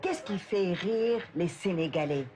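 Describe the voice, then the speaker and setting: A woman host from Tunisia, narrating and chatting in a lively way with many fillers. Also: fairly low pitch, unhurried, a crowd in the background. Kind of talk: documentary narration and street interviews.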